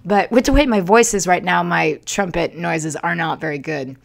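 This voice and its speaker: A woman's voice: fairly low pitch, normal speed, creaky. A woman livestream host and performer chatting casually and theatrically into a desktop microphone, with a smoky voice that is a bit worn from the day before. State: voice rough the day after a livestream. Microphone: Yeti desktop microphone.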